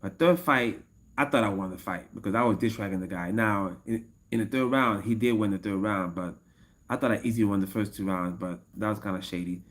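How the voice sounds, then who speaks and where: A man's voice: on the low side, brisk, fairly bright tone. A man of fifty-one talking casually to his livestream chat as an MMA coach, rambling and repeating himself and laughing often. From United States. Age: 50s. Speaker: MMA fighter and coach streaming live.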